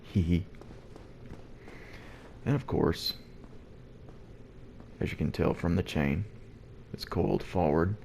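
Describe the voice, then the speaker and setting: Very deep, gravelly, hushed male gamer. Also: slow pace, pauses often. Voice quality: deep and low. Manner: quiet, hushed so as not to wake the house. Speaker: let's play host. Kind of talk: gameplay commentary. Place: at home early in the morning. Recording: noisy background hiss.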